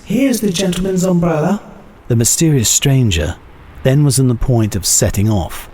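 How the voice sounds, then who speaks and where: Mellow, low-voiced man reading a story. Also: a little hiss or hum. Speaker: narrator, reader. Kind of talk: dramatic reading of a classic short story.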